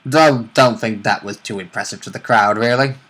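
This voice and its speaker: A man's voice: fairly low pitch, normal speed, fairly bright tone. A man co-hosting a casual game discussion, joking and commenting in a blunt, sarcastic way. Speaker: co-host.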